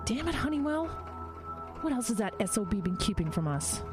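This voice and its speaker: A woman's voice: a little high, very animated, brisk. Sardonic woman investigator played by an actress, swooping delivery. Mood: annoyed, wary. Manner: sardonic, no-nonsense, brusque. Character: sceptical, bossy woman bureaucrat investigating a haunted house. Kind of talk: audio drama acting. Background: music.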